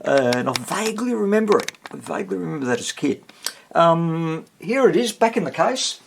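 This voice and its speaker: Gravelly, husky Australian man, chatting casually. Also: a little high, sing-song, brisk. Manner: rambling, thinking aloud, easygoing. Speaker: host of a vintage radio repair channel. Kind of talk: casual chat to camera about a repair.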